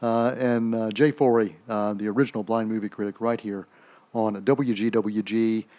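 A man's voice: fairly low pitch, steady tone, mellow. Laid-back guy hosting a radio review show, lots of yeahs and okays. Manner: easygoing, quick to agree. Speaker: radio host. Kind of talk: casual radio chat.

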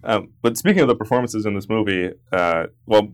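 A man co-hosting a casual film podcast, thinking out loud and checking in with "right?" as he makes his points. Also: on the low side, a little fast. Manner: reflective, builds points with "like" and "right?". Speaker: podcast co-host.

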